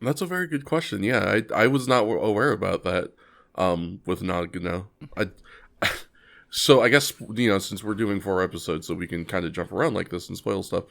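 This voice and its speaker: A young man co-hosting a casual podcast. His voice sits a little low, and he talks with eager enthusiasm, often restarting and stammering over his first words before getting his point out. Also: normal speed, gravelly, fairly bright tone. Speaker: podcast co-host.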